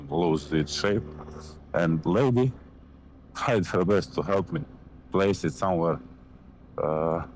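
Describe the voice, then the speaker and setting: A man's voice: sits low, slight background noise, low-quality recording. A young man from Montenegro with a Montenegrin accent, rambling and swearing casually as he rides a motorcycle, talking into a helmet mic. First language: Serbian. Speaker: motovlogger. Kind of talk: casual riding commentary. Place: riding a motorcycle in city traffic.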